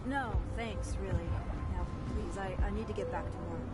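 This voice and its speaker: A woman's voice: medium pitch, moderate pace, loud music behind the voice, natural voice. An actress voicing a nervous young woman in a video game who stammers and dodges questions. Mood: evasive. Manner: hesitant, stammering, evasive.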